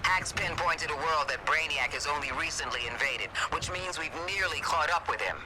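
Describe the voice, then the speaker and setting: Brief: woman voicing a cold, clipped commander, crisp delivery. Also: sits low, normal speed, background noise, close to an everyday voice. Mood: commanding. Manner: curt, commanding. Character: stern female director giving orders.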